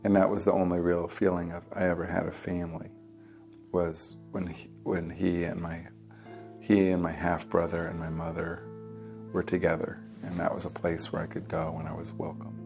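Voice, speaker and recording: A man giving reflective personal testimony in a plain-spoken, halting way, as in a documentary interview. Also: sits low, completely flat delivery, creaky, music in the background.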